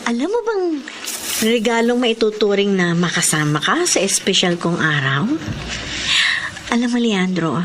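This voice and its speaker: A woman's voice: mid-range voice, big pitch swings, a little slow, pauses often, a little hiss or hum. An actress with a Filipino accent playing a devoted, tender young woman in love in a sentimental, emotional radio drama. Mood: tender, sweet. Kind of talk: Filipino radio drama acting with letter-style narration. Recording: radio drama with prominent music underneath.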